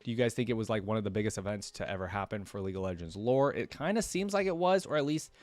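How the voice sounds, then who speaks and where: A man's voice: on the low side, animated, fast, a little mellow, no echo. A man giving casual, unscripted commentary to viewers as a reaction video host, rambling and emphatic in a conversational way.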